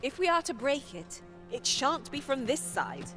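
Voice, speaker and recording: A loyal fantasy-game adventurer, eager and formal. Her voice sits fairly high and moves in lively swings. Her wording is archaic, and game music plays clearly behind her. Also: normal speed. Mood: curious, eager. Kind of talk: fantasy video game acting.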